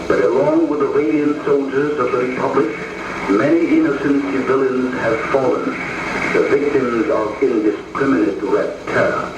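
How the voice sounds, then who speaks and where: A man's voice: medium pitch, moderate pace, roomy sound, distant mic. Man narrating over noisy old film soundtrack. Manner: formal, solemn, declamatory. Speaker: documentary narrator. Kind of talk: scripted propaganda newsreel narration.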